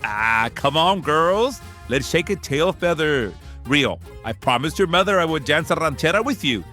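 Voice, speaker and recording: An exuberant, cheerful uncle who loves to show off. The voice is very bright and swings wildly, with music underneath, as in a radio play. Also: male voice, a little high, sing-song, normal speed. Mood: boisterous. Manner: playful, exuberant. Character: cheerful showboating middle-aged Mexican-American uncle. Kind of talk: audio fiction drama acting.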